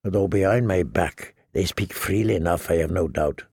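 A man voicing a cocky, cruel highborn knight with a mocking, sneering drawl for an audiobook. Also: expressive, unhurried, creaky, darkish tone, breathy edge, dry recording, studio quality, full character voice.

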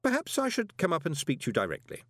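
A man's voice: on the high side, wildly swooping pitch, quick pace, dry recording, studio quality, voice pushed up. A man voicing a polite, confident young conman postmaster with a glib, persuasive manner in an audiobook. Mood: brisk, polite. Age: young adult.

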